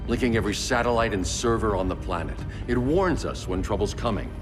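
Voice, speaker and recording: Man as stern middle-aged vigilante, very low pitch, measured, video game cutscene, clear background music. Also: lightly acted. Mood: grim, businesslike. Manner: terse, serious, measured. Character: grim, commanding middle-aged male vigilante leader. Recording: long hall reverb, music behind.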